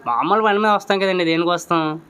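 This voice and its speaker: A man's voice: high voice, fast talker, bright, forceful tone, slight background noise. A man chatting flirtatiously on a phone call in a polite, coaxing way. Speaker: prank caller.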